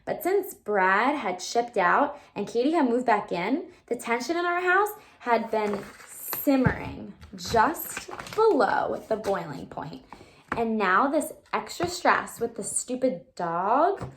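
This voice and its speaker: Female read-aloud teacher, voice a little high, animated storyteller for young listeners, explains as she goes. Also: takes it slowly, slight background noise. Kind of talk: expressive children's book read-aloud.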